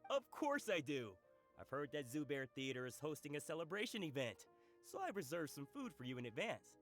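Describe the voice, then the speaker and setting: Friendly food vendor in a fantasy game: jokey, generous, bouncy higher-pitched delivery. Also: male voice, sing-song, a little fast, soft background music. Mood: welcoming. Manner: hearty, teasing. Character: genial, joking male food-stall merchant.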